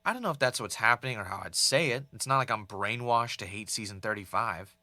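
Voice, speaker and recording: A man's voice: lowish voice, lively intonation, brisk, sharp tone, dry recording. A man playing an earnest, doubtful podcast host in a scripted audio drama. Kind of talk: fictional podcast narration and conversation.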